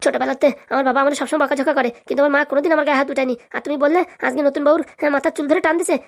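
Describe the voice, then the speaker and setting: Casting: woman to play whiny young cartoon husband, high voice, very quick protests, rural Bengali accent. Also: steady tone, creaky. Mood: disbelieving. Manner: protesting, incredulous. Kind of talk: cartoon family drama dialogue.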